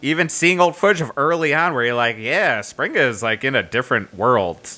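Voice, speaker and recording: A man's voice: mid-range voice, animated, a little fast, pushed and bright. A man co-hosting a podcast in casual chat, rambling with many fillers and playfully teasing the others. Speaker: podcast co-host.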